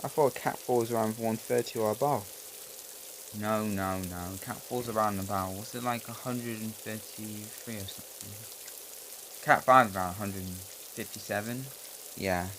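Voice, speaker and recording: Low-voiced man in casual storm-chaser banter. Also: moderate pace, fairly bright tone, a little hiss or hum, poor audio. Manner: loose chatty talk with frequent restarts. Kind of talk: casual banter among friends.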